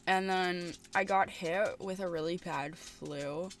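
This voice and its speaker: A woman's voice: lowish voice, steady tone, slow pace. A young adult woman with a stuffed-up, sniffly voice chatting casually to camera at her bedroom desk. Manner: easygoing, with fillers. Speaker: vlogger.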